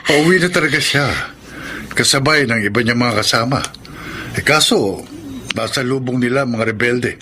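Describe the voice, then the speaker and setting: A man playing a grim, halting military officer in a Filipino radio drama. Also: medium pitch, pushed and bright, slight background noise, lightly acted. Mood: grave. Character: grim male military officer delivering reports.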